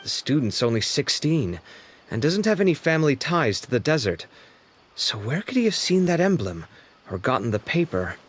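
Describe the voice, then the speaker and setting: A man's voice: mid-range voice, very animated, moderate pace, a little hiss or hum, natural voice. A man voicing an easygoing young male companion in a video game, talking in a casual, thoughtful way. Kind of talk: game voice acting.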